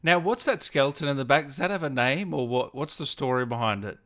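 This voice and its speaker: A man's voice: mid-range voice, quick pace, fairly bright tone. A man hosting a casual streamed interview, talking informally and tagging his lines with little questioning asides. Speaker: host, interviewer.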